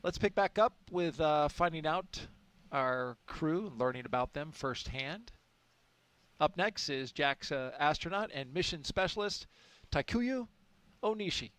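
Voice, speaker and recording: A man's voice: fairly high pitch, expressive, a little slow, a bit bright, no echo. A man giving live launch commentary in a chatty, enthusiastic way, with frequent fillers.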